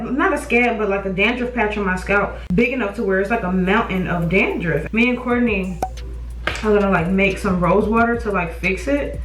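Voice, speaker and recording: A woman's voice: mid-range voice, fairly bright tone. A young woman chatting to the camera while getting ready, repeating words because of a mild stutter. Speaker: beauty vlogger. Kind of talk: casual chat to camera. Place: small warm beauty room at home. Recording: roomy, slightly distant.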